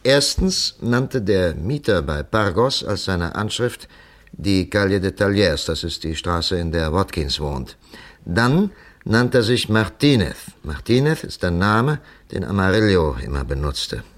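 An actor playing a brisk, commanding police commissioner in a 1950s German radio crime drama, thinking aloud and giving short orders. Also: male voice, deep voice, big pitch swings. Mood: pondering. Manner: deliberating aloud, then snapping out short orders. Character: brisk commanding male police commissioner leading a murder case.

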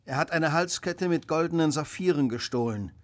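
An actor playing a brisk, self-assured detective who speaks with precise authority in a radio play. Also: male voice, on the high side, lightly acted. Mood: commanding. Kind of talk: German radio play acting.

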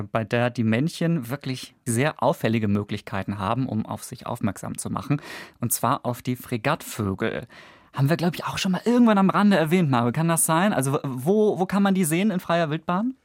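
A man's voice: medium pitch, no room sound, clean studio sound. A man hosting a radio podcast, chatting playfully with a guest and using many fillers. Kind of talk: casual radio chat.

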